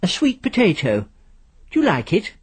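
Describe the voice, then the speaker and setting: A man acts out a middle-aged English housewife from a storybook. She speaks high and lightly, with a mild, cheerful temper and a slightly husky edge. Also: wildly swooping pitch, normal speed, full character voice. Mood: breezy.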